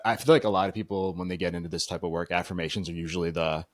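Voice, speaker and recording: A man's voice: low voice, steady tone, rapid-fire, no room sound, studio quality. A man hosting a podcast, talking casually and with enthusiasm, using slang like "dude" and "bro". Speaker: podcast host.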